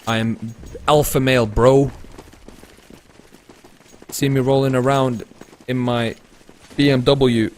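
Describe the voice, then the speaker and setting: A young man casting an esports match, laid-back and tired between plays, rising to fast, excited calls when the action heats up. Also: medium pitch, a little hiss or hum. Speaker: esports caster. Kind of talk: live esports commentary mixed with chatting to viewers.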